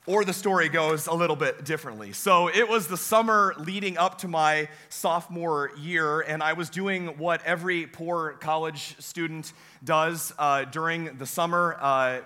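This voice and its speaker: A young man, a campus pastor preaching a sermon in a church with a hoarse, weakened voice, telling folksy, self-deprecating stories. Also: a little high, a little slow, sharp tone. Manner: folksy, self-deprecating storytelling with many uh fillers. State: hoarse, voice weaker than usual. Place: church sanctuary.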